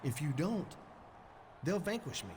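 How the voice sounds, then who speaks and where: A man's voice: a little high, very animated, a little slow. An actor playing an earnest, exasperated male wrestler in a video game, speaking bluntly and urgently. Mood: urgent, pleading. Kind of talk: video game cutscene acting. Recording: faintly noisy game audio.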